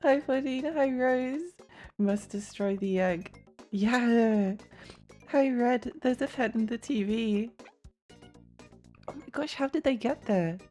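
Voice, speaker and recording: A woman streaming a video game, chatting casually with many fillers and little laughs, her voice a bit croaky. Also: a little high, takes it slowly, long pauses, dark tone, soft background music. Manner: rambling, giggly, many fillers and restarts. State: slightly croaky voice. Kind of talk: casual live-stream chatter while gaming.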